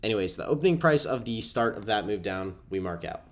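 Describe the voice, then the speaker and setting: A man's voice: on the low side, expressive, fairly quick, a little hiss or hum. A young man with a stuffy, cold-affected voice, casually explaining a trading setup over a screen recording with lots of ums. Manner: casual, filler-heavy explaining with frequent ums. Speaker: trading educator. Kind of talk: screen-share trading walkthrough.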